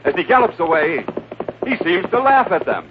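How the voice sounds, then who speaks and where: A man's voice: sits high, big pitch swings, moderate pace, very noisy. An American man giving dramatic scripted narration for an old-time radio western. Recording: tinny vintage radio, music behind.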